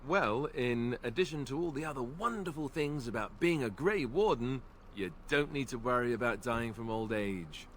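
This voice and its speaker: An actor plays a young warrior from a fantasy game who teases his companions with dry, self-mocking jokes. His voice sits at an ordinary middle pitch. A faint hiss runs underneath. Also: animated, normal speed, few pauses, natural voice. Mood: somber. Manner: wry, teasing, dry humor. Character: young male warrior, joking and self-deprecating. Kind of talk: video game voice acting.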